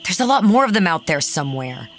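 Woman as a blunt, low-voiced teenage girl. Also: very animated, fast, slight background noise. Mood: practical, concerned. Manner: blunt, direct. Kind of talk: audio drama acting.

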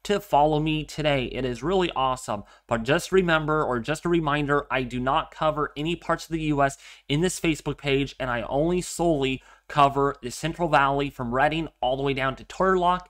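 A man's voice: fairly high pitch, a little fast, hardly any pauses, a bit bright. A young man getting over a cold, sounding congested and a little nasal, earnestly giving a casual weather update from his home office. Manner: earnest, repeats himself, many fillers. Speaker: weather forecaster. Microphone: webcam framing at desk.

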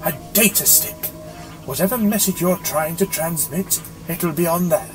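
A man's voice: a little high, normal speed, over music, pitched above the performer's own voice, close to an everyday voice. A man voicing an eccentric, wry time-travelling alien in a theatrical, exclamatory way for an audiobook. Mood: excited. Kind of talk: audiobook character voice.

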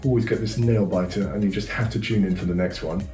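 A man's voice: fairly low pitch, steady tone, fast, dark tone. British man, hesitant, in an echoey room. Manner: hesitant, many fillers and restarts. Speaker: viewer giving opinions. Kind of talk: casual reaction review. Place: living room, on a sofa. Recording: roomy echo, faint music.

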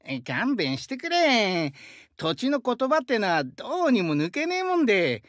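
A male actor voicing a burly, friendly young sumo-wrestler god in an anime game, with rough, hearty speech and a rural Shinshu Japanese dialect. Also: extremely high pitch, huge pitch swings, unhurried, fairly bright tone, no echo, clean studio sound, exaggerated voice. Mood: gruff, reflective. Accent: rural central Japanese dialect (Shinshu). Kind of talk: anime game character voice lines.

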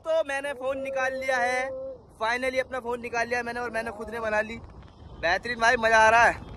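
A man's voice: extremely high pitch, level tone, brisk, bright, forceful tone. A man, chatting casually and slangily as a travel vlogger, often repeating his phrases. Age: 20s. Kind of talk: casual travel vlog chat. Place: outdoors on hillsides and in a car. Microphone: phone at arm's length. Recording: noisy outdoor phone recording with vehicle sounds.

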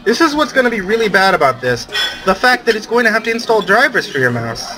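A man's voice: high-pitched, very animated, quick pace, rough recording. Wry young man hosting a game session. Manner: wry, bossy, teasing. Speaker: let's play host. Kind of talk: casual group gameplay chat. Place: room with friends at a computer. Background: game music.

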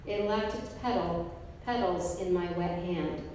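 A middle-aged woman recites a poem at a gallery gathering, taking each line slowly and evenly. Her mellow voice rings through an echoey room with a long reverb tail. The mic picks her up from some distance. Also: on the low side, steady tone, background noise. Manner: slow, measured recitation from paper pages. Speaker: poet reading a group poem. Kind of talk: poetry reading at a gallery event. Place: art gallery, indoors, mid-sized room. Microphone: handheld mic, filmed from a distance.

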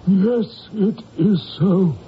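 Imperious high priest, solemn, high-pitched, very measured, declamatory, dull old radio drama recording. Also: male voice. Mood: stern, evasive. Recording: dull vintage radio recording, faint noise.

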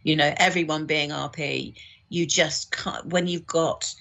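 Husky-voiced woman in conversation. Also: low-pitched, steady tone, unhurried, fairly bright tone. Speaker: audiobook producer, podcast guest. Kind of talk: casual interview discussion.

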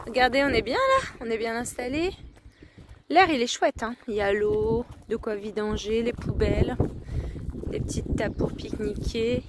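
A woman's voice: a little high, lively intonation, moderate pace, noisy background. A woman in her thirties chatting cheerfully as she films a camper van trip, outdoors and inside the van, on a handheld action camera. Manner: chatty, cheerful running commentary. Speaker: vlogger. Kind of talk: casual travel vlog narration. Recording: music playing underneath.

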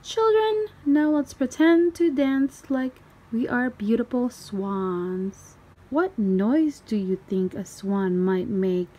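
Lady doing a graceful gazelle dance teacher for a kids book, encouraging, slow, swoopy pitch. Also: animated, mellow, low-quality recording.

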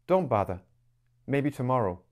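A man's voice: mid-range voice, sing-song, moderate pace, a little mellow, pitched above the performer's own voice, close to an everyday voice. A man voicing a wry, feisty twelve-year-old girl with a cheeky, dry manner in an audiobook. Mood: hesitant.

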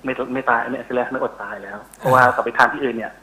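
A man's voice: medium pitch, fairly even intonation, racing, sharp tone, poor audio. A middle-aged man explaining as an expert guest in a phone interview, often searching for words. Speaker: interview guest, university researcher. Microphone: telephone call.

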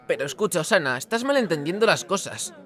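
A man acts an earnest teenage schoolboy from an anime, helpful and easily flustered. His voice is lively and varied in pitch, with an accent from Spain. Music runs behind him. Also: fast talker. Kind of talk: Spanish fan dub of anime. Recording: music bed under voice.

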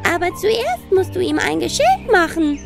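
A woman's voice: very high voice, big pitch swings, normal speed, vocal fry, pitched above the performer's own voice, heavily acted. A woman voicing a helpful little seagull in a children's fairy tale. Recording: long reverb, music underneath.

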